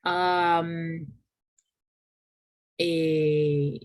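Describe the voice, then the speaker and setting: A woman from San Andrés, Colombia, whose first language is Creole English, speaking Spanish with a fairly neutral island accent while teaching an English class online. She talks in a measured way with frequent fillers. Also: deep voice, flat delivery.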